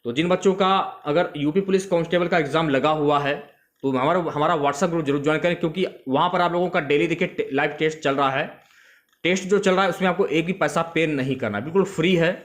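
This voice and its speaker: A man's voice: fairly high pitch, quick pace. A man teaching as an exam coach, going through questions and repeating key facts for students. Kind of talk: instructional exam question review.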